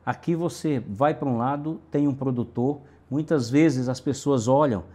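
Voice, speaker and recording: A man's voice: medium pitch, normal speed, mellow. A middle-aged man from Brazil's Northeast, a city councillor answering interview questions emphatically with lists and repetition.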